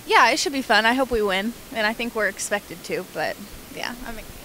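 Bright-voiced teen girl answering questions. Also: fairly high pitch, expressive, brisk, slight background noise, poor audio. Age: teens. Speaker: homecoming nominee. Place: school gym indoors.

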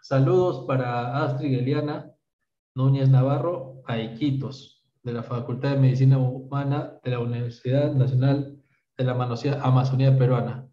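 A man's voice: medium pitch, fairly even intonation, normal speed. A Peruvian man in his thirties, a doctor teaching an online workshop, explaining step by step and often checking with "¿está bien?". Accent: Peruvian Spanish. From Peru. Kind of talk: online medical lecture answering questions. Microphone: video call. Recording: roomy, distant, dull video call audio.

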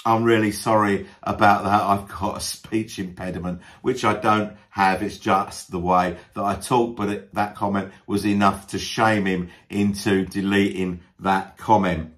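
A man talking casually as a video creator, in a self-deprecating, joking manner, often pausing with ums and uhs while he thinks. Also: on the low side, little pitch movement, a bit bright. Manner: hesitant, frequent ums and uhs as thinking time. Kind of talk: casual talk to camera, answering a list of questions.

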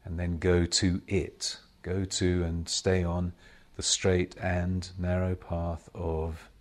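Very slow, deep-voiced male meditation teacher. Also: darkish tone. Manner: measured, solemn, deliberate short phrases with long pauses. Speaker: spiritual teacher leading guided meditation. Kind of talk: slow guided meditation talk.

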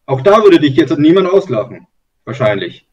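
A man pushes a point in a loose online discussion, full of filler words. His voice sits a little high and sounds distant and muffled. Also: very animated, moderate pace, a little mellow, husky. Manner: probing, lots of filler words. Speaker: discussion participant. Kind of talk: casual group chat. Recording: distant, muffled call audio.

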